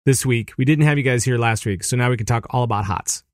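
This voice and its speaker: A man's voice: medium pitch, fast talker, no room sound, studio quality. A man hosting a podcast, chatting casually in a rambling, joking way. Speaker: podcast host.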